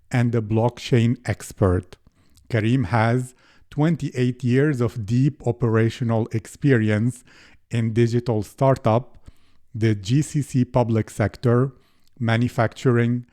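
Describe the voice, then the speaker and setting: Guy hosting a podcast, slow and mellow, reads his intro then asks questions. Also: medium pitch. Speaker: podcast host.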